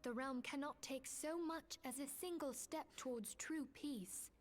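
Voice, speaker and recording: An actress playing a composed, solemn woman who leads a secret order in a fantasy video game, speaking in a measured, formal way. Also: sits high, faint music behind. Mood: grave. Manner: measured, formal, stately delivery.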